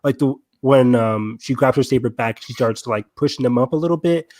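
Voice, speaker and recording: A man's voice: medium pitch, fairly quick, darkish tone, dry recording, clean studio sound. A man talking casually as a panelist in a fan discussion. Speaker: podcast panelist.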